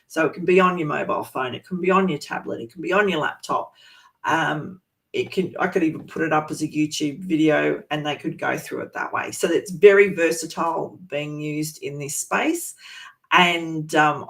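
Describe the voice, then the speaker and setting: A teacher walks educators through a teaching resource. The delivery is informal and easygoing, full of little pauses, ums and restarts. The voice sits at a middle pitch. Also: creaky. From Australia, east coast. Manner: relaxed and chatty, many ums and restarts.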